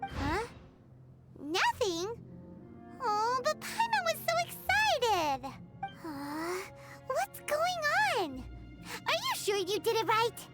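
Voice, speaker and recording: A tiny childlike fairy companion from a video game, with an extremely high voice that swoops up and down. She is naive and exclamatory, takes her time over words, and music plays behind her. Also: wildly swooping pitch, takes it slowly, pauses often, full character voice. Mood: disappointed. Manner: exclamatory, naive, speaks of self in third person. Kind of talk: animated game voice acting.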